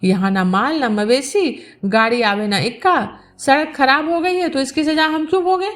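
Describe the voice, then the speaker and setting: Woman reading as high, grudging village woman. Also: moderate pace, soft background music, close to an everyday voice. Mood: indignant. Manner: objecting, rustic.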